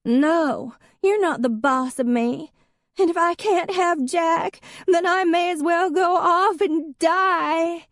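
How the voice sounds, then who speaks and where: A woman's voice: extremely high pitch, slow, dry recording, studio quality, voice pushed up. A woman voicing a drunk, furious woman who slurs and shrieks. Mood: hysterical. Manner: slurring, shrieking.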